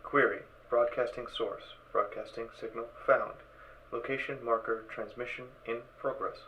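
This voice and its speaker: A man voicing a literal-minded spacesuit computer in an audio drama, clipped, formal, mechanical and deadpan. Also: medium pitch, moderate pace, natural voice. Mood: informative, flat. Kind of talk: fan audio drama character acting. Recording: dull, distant, noisy sound.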